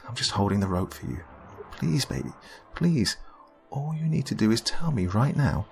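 Man voicing an exasperated young woman, raised pitch. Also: animated, moderate pace, gravelly, a little mellow, faint music behind. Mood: pleading. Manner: blunt, pleading, swearing. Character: angry, caring, exasperated young woman on the phone.

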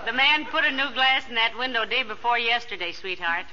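An actress playing a sensible, patient but exasperated wife with a dry, chiding delivery in 1940s radio comedy. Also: female voice, fairly high pitch, lively intonation, brisk, crowd noise, lightly acted. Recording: dull, noisy vintage radio recording.

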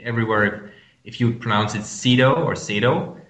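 A man's voice: medium pitch, moderate pace, fairly bright tone, roomy sound. A man from Germany with a German accent, answering interview questions about his company in a hesitant way, full of fillers and restarts. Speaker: company representative guest. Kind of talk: business interview.

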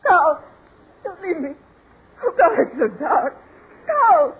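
Terrified woman, high-pitched, melodramatic pleading, 1940s radio drama, scratchy phone-like recording. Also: big pitch swings, heavily acted. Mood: panicked urgency. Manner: halting, breathless, melodramatic pleading. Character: frightened, fragile woman gripped by supernatural dread. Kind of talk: 1940s radio horror acting.

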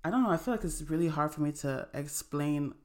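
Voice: medium pitch, brisk, darkish tone. A young adult from Nigeria, a podcast guest, talking in a rambling, heated, casual way. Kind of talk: casual chat.

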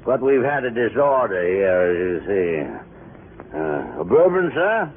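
An actor playing an evasive older doctor, hesitant and formally polite, in a 1950s radio crime drama. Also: male voice, on the low side, very animated, a little slow, phone-quality sound. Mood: uneasy, evasive. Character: rattled, evasive, bourbon-offering older male doctor. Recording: thin, noisy old radio recording.

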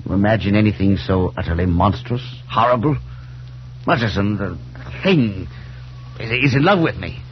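An actor playing a nervous, irritable, haunted professor in a 1940s radio drama, speaking haltingly and with emphasis. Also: male voice, fairly low pitch, animated, natural voice. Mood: horrified. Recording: dull, hissy 1940s radio broadcast.

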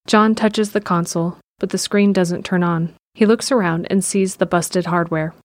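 A woman's voice: fairly low pitch, steady tone, normal speed, no room sound. A woman reading scripted narration for an audio drama.

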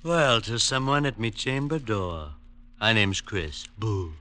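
An actor playing a drunken, sardonic young stepson with flowery, mocking wit in an old-time radio drama. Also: male voice, on the low side, animated, slow pace, music in the background.

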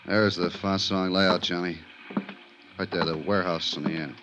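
An actor as a deep-voiced police inspector. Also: male voice, steady tone, moderate pace, muffled recording, close to an everyday voice. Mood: businesslike. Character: steady, loyal male police inspector. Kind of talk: 1950s radio drama acting. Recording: noisy, crackly 1950s radio recording.

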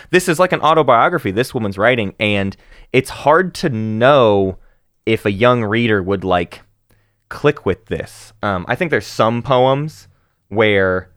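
Low-pitched guy on a book podcast, lively, lots of "like" and "um", dry close mic. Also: no echo. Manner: animated, laughs often, many fillers and restarts. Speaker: podcast co-host. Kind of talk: casual book discussion.

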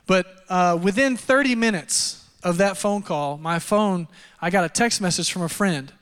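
A pastor from Texas in his thirties preaches in a loose, conversational style, joking at his own expense and leaning on fillers. His voice sits high for a man and sounds smooth and resonant. A long reverb from a church hall trails each phrase. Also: normal speed, a bit bright. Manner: conversational, self-deprecating storytelling with frequent fillers. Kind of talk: sermon with personal testimony. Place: church auditorium.

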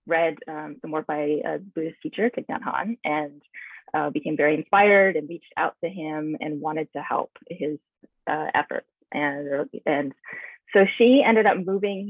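A woman's voice: deep voice, breathy edge, no echo. A woman being interviewed as a guest, speaking thoughtfully and searching for her words. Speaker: naturalist and author, interview guest. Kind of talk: reflective interview answers. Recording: tinny phone-like line.

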